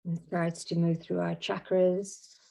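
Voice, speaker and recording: Female meditation guide: warm dark tone, very level intonation, soothing, unhurried phrasing with long pauses. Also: on the low side, flat delivery, gravelly.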